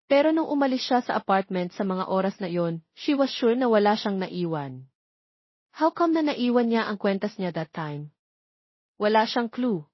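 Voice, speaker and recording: A woman's voice: mid-range voice, big pitch swings, normal speed, no room sound. A woman reading a scripted romance story as an audiobook narrator, in a muffled recording.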